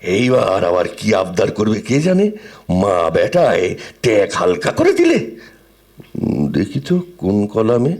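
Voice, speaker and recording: An actor playing a miserly, teasing middle-aged husband who grumbles theatrically and sarcastically in an audio drama. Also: male voice, on the low side, huge pitch swings, unhurried, darkish tone, full character voice. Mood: puzzled.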